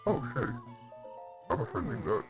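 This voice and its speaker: A young man casually chatting while streaming a game from home, reacting out loud to the game and to chat. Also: a little fast, over music. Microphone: laptop microphone.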